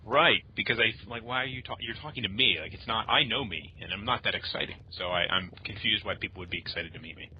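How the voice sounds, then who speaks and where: A man chatting casually as an interview guest, joking wryly and haltingly. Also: on the low side. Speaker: guest author. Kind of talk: casual humorous interview chat. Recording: dull lo-fi audio, faint noise.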